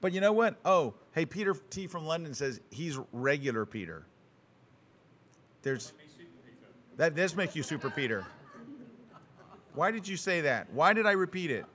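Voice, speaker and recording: A man hosting a live show in front of an audience, bantering and teasing his guests with quick, playful asides. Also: on the high side, big pitch swings, fairly bright tone. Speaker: show host.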